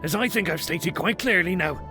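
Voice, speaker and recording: A man playing a stern, reluctant ranger leader in a fantasy audiobook, with a gravelly, hissing edge. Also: high-pitched, fairly quick, music in the background, close to an everyday voice. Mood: grim resignation.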